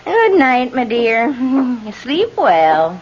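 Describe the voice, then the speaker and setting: An actress playing a nosy, good-natured lodger in old-time radio drama, prodding and matter-of-fact, on a vintage recording. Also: female voice, on the high side, huge pitch swings, drawn-out words, muffled recording, background noise, heavily acted. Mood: breezy, friendly.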